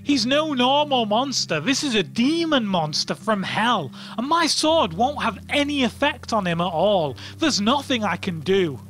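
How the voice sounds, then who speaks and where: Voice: medium pitch, very animated, measured pace, few pauses, fairly bright tone, soft background music. A storyteller voicing a young village knight in a dramatic, declaiming manner. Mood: panicked. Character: brave young knight, village hero turned dead scared.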